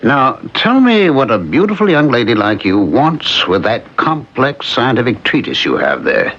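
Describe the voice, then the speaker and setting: A playful charmer of a professor in vintage radio drama. His husky voice swoops dramatically as he flatters, on a dull old recording. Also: mid-range voice, normal speed. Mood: flirtatious. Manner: gushing, playful, theatrical.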